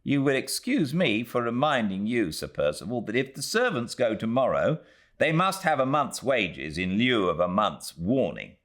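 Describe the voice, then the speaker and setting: A man's voice: medium pitch, big pitch swings, moderate pace, a bit bright. A man voicing a dignified, easily affronted Victorian woman housekeeper with a British accent, formal and stiffly deferential, in an audiobook. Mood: polite objection. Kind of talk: audiobook character voice.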